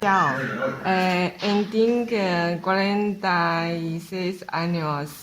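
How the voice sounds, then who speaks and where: A woman learning a language, introducing herself very haltingly, word by word. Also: lowish voice, steady tone, music in the background. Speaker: adult language student. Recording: faint noise with clicks.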